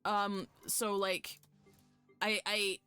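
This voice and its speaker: A woman streaming a game live, chatting casually with viewers in an animated, sweary, fan-like way. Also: mid-range voice, drawn-out words, soft background music. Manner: foul-mouthed. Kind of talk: casual chat with viewers while gaming.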